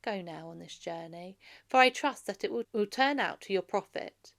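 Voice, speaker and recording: A woman's voice: medium pitch, animated, moderate pace, vocal fry, a bit bright. A woman voicing a goddess disguised as an older man, commanding and reassuring, in a storybook reading. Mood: reassuring, instructing.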